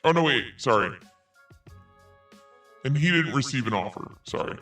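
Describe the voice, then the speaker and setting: A man's voice: medium pitch, lively intonation, moderate pace, sharp tone, recorded from a distance, faint music behind. A man hosting a commentary podcast, reading messages aloud in a sarcastic tone and swearing freely. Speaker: podcast host.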